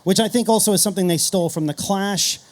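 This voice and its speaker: A man's voice: sits high, fast. A middle-aged man speaking informally and anecdotally as a panelist in a casual conference discussion. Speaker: panelist, podcast host.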